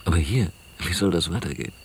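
An actor playing a hesitant, affectionate man in quiet, intimate radio drama dialogue, with a gravelly voice. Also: low voice, lively intonation, fast talker. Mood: concerned, advising. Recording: noisy, hissy old cassette radio play.

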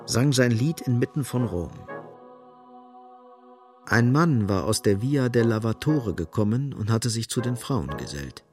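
A man narrating a literary radio drama gravely, in short, emphatic phrases. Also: medium pitch, animated, unhurried, faint music behind.

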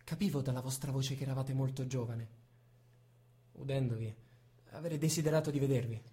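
A man's voice: mid-range voice, steady tone, brisk, mellow, lightly acted. An actor playing an anxious, yearning blind man in theatrical stage style. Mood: yearning, subdued. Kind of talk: theatrical stage-play acting.

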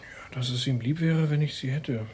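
A man's voice: medium pitch, moderate pace, darkish tone, a little hiss or hum, lightly acted. Actor as guarded man under questioning. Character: guarded young man being questioned by detective. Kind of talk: radio drama acting.

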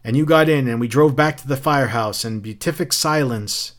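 A man's voice: medium pitch, fairly quick, lightly acted. Guy reading a book as a smug fire captain, mocking, theatrical, taunting with fancy quotes. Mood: gloating, taunting. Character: smug, taunting fire captain who spouts literary quotations.

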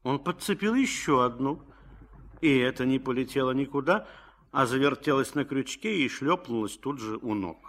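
A man's voice: mid-range voice, lively intonation, moderate pace, a bit bright. A man narrating a Russian radio play in a scripted storytelling style.

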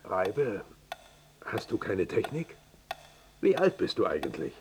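A man's voice: lowish voice, huge pitch swings, slow, gravelly, background noise, exaggerated voice. A man playing a fussy, doting, overbearing father in a radio drama, talking in a solicitous, insistent way. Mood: insistent, prying. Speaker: actor. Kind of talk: German radio drama acting.

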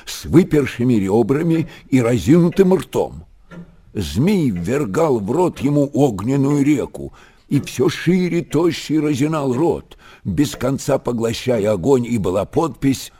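An actor playing the storyteller of an old monastery tale in a radio drama, narrating in an expressive literary manner. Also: male voice, medium pitch, breathy edge.

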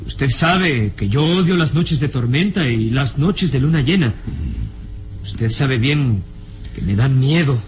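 An actor playing a submissive, jealous, lovesick man, speaking haltingly and with anguish in an old radio serial. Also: mid-range voice, animated, a little hiss or hum. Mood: submissive, fearful. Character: cowering, obsessively jealous servile man, lovesick suitor. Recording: vintage radio serial with music behind.

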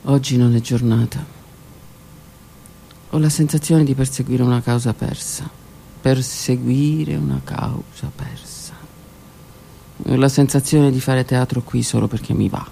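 Woman, theatrical monologue, dramatic and introspective with hushed passages; gravelly texture, faint hiss behind. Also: rumbling low, fairly even intonation, a little slow, pauses often. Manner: introspective, dramatic delivery, drops to whispers. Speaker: stage performer. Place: stage or studio performance.

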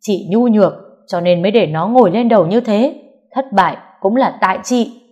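A woman voicing a fiery, foul-mouthed younger sister who shouts and scolds in a high, sharp voice. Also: expressive, normal speed, muffled recording, lightly acted. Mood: angry. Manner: loud and fierce.

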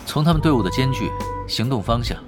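An actor playing a cool-headed veteran gamer who leads his team, calmly explaining tactics in an audio drama. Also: medium pitch, fast, music in the background, natural voice. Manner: calm, confident, explains tactics patiently. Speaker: expert player leading a team.

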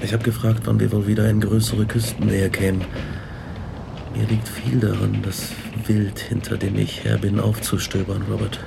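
An actor playing an obsessed young male scientist, grave and deliberate, in a German radio drama. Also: fairly low pitch, flat delivery, vocal fry, background noise. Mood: guarded, restless. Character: Victor Frankenstein, obsessed young male scientist recounting his horrors.

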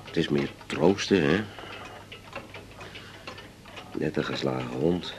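A troubled middle-aged father in a radio play. His voice is extremely low, and he confides in a halting way, going back over his words. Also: a little hiss or hum. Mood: confiding, uneasy. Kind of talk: naturalistic 1980s radio drama acting.

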